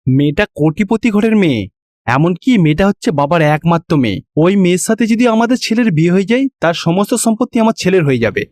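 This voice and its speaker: A man playing high-voiced shrewd older village husband. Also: sing-song, fast, darkish tone, no room sound, studio quality. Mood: coaxing. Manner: admonishing, reasoning.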